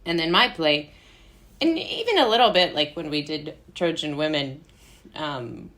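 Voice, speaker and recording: Low-voiced young American woman, chatty. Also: unhurried. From Brooklyn, United States. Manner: animated, giggly, rambling storytelling with frequent "like". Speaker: interview guest, playwright and actor. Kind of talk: casual chat.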